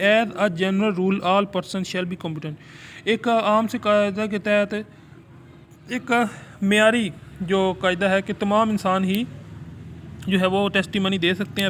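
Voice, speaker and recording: A man with a Pakistani accent lecturing informally as a teacher, moving between halting reading of notes and quicker explanation. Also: sits high, a bit bright, a little hiss or hum. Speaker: law teacher. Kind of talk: informal exam lecture, explaining read-out English notes in Urdu.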